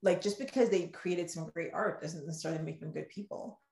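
A woman guest on a podcast, talking in a loose, animated, rambling way and acting out the things people say to her. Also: on the low side, a little fast, gravelly. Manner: animated, rambling, acts out quoted exchanges. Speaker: romance author guest. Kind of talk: casual podcast chat. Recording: roomy echoey room sound.

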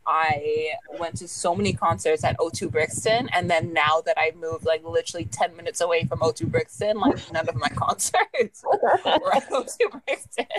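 A woman in her twenties talks through her thoughts on a casual podcast, with a voice that sits a little low. She rambles and scatters "like" through nearly every sentence. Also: expressive, moderate pace, rough recording. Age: 20s. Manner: rambling, leans heavily on "like". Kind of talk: casual chat.

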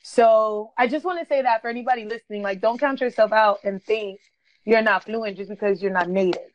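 A woman's voice: mid-range voice, fairly even intonation, brisk, no echo. A woman from Brooklyn, a chatty guest on a podcast who laughs often and says "like" a lot. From Brooklyn, New York. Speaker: guest, blogger. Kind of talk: casual podcast interview. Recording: dull, dry lo-fi sound.

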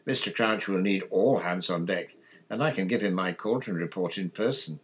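Man acting a pompous, husky young official. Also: sits low, normal speed. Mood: self-important. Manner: self-important, indignant.

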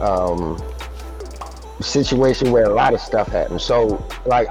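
A man being interviewed as a guest singer, telling stories in a relaxed, laid-back way. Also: on the low side. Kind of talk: casual interview.

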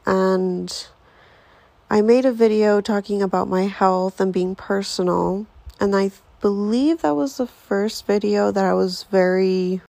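A woman's voice: mid-range voice, fairly even intonation, measured pace, gravelly, warm tone, dry recording. A woman in her twenties hosting her own podcast, chatting casually in a rambling way with lots of fillers. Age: 20s. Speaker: podcast host. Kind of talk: casual solo podcast intro.

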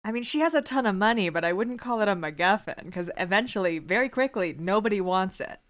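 A woman's voice: medium pitch, animated, quick pace, fairly bright tone. A woman chatting casually as a podcast quiz contestant, joking and playfully argumentative. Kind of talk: casual comic chat.

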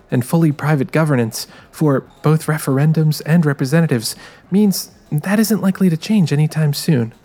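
Man as a musing narrator, slightly high voice. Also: darkish tone. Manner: musing, confiding. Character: determined, rueful young woman narrating her noir-like misadventure. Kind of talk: first-person dramatized scripted narration.

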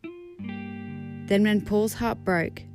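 An Australian woman giving a dramatic poetry reading in a deliberate, deadpan manner. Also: medium pitch. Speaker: guest poetry reader. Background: plucked guitar music.

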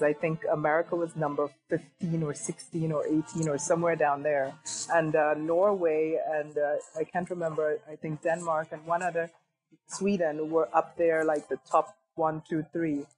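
A woman's voice: sits low, little pitch movement, normal speed, darkish tone, faint music behind, poor audio. A woman hosting an interview podcast, talking reflectively with fillers and asking questions in an upbeat way. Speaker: podcast host. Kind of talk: interview host chat.

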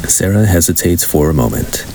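A man's voice: deep voice, expressive, moderate pace, a little hiss or hum. A man narrating a scripted radio play. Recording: loud music behind the voice.

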